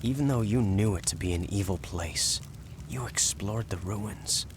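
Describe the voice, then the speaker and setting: Deep, steady heroic champion played by a man. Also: measured pace, noisy background, natural voice. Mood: earnest, determined. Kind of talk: dramatic video game voice acting. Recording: loud music behind. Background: game score music.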